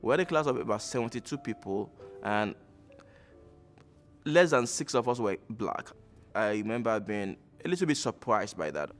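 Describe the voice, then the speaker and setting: A Nigerian man in his thirties, speaking reflectively with frequent pauses in a documentary interview. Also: medium pitch, a bit bright. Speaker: alumnus interviewee.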